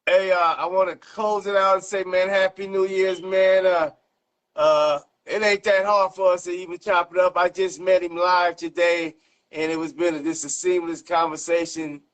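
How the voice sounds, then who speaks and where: High-pitched streetwise man. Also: flat delivery, normal speed, fairly bright tone. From South Central Los Angeles, United States. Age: 50s. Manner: streetwise, slangy, profane. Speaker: interview host. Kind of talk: casual chat.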